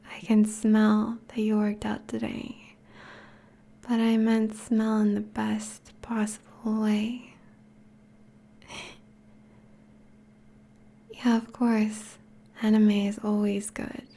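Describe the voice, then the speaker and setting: A woman playing a teasing, affectionate vampire girlfriend in an intimate ASMR roleplay, speaking softly in a breathy, whispery voice. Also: medium pitch, completely flat delivery, takes it slowly, pauses often. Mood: affectionate, playful. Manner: soft, teasing, languid.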